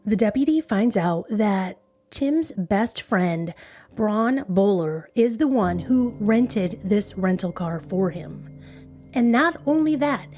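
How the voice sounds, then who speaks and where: A woman podcast host narrates a true crime story with music behind her. Her pitch is average and her delivery is conversational and informal, pausing to recap and stress points. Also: darkish tone. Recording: background music under voice.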